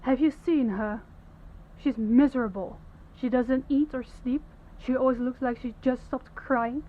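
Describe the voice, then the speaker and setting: A woman's voice: high voice, narrow, tinny audio. A woman playing a young princess, stern, principled and formal, in an audio roleplay. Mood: indignant, scornful. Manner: formal, commanding, measured diction. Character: young princess, stern, authoritative, compassionate, defiant.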